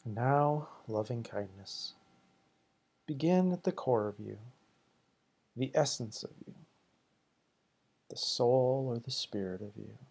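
A man leading a guided meditation in slow, deliberate, soothing short phrases, with a husky, gravelly voice. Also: mid-range voice, a little mellow.

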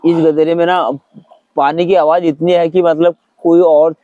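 A man's voice: fairly high pitch, flat delivery, moderate pace, warm tone, no echo, lo-fi sound. A man, talking casually to camera as a camping vlogger outdoors. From India. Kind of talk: casual vlog talk to camera. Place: outdoors in a forest by a stream.

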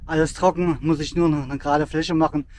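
High-voiced chatty man hiking outdoors. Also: little pitch movement, a little fast, darkish tone, a little hiss or hum. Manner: chatty and enthusiastic, with frequent fillers. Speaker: outdoor gear vlogger. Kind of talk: casual hiking vlog with gear reviews. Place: rocky forest outdoors.

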